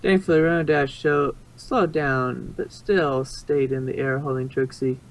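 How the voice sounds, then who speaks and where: A man's voice: mid-range voice, fairly even intonation, a little slow, poor audio. Male story narrator, middle register, unpolished read with stumbles and retakes. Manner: stumbles and rereads phrases. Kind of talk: amateur story reading.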